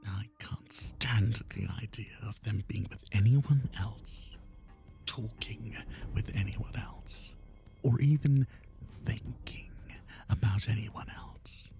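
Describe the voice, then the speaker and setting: A man playing an obsessive, lovesick and menacing kidnapper in a roleplay ASMR monologue, with a breathy voice that often drops into whispers and theatrical, lingering emphasis. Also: fairly low pitch, slow, dark tone, faint music behind. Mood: possessive, menacing.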